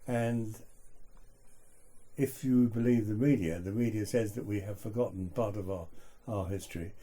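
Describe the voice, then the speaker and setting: A man's voice: on the low side, moderate pace, warm tone. A man conducting a local radio interview in a reflective, hesitant way.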